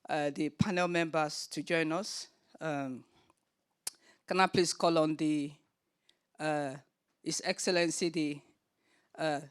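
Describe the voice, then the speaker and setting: A woman with a Nigerian accent chairing a forum panel, speaking earnestly with frequent filler pauses. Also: low voice, clean tone. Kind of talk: opening remarks at a forum panel.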